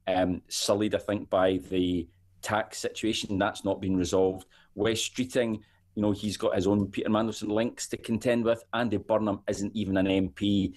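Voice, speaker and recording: Scottish man with a low voice. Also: a little mellow. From Scotland. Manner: measured, repeats words while thinking. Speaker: political editor guest. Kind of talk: political commentary on talk radio.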